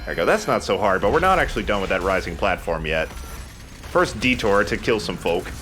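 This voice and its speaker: A man's voice: fairly low pitch, expressive, a little fast, sharp tone. A man giving casual, jokey let's play commentary over a video game, chatting and swearing freely. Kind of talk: casual gameplay commentary with banter. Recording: music behind the voice. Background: game music.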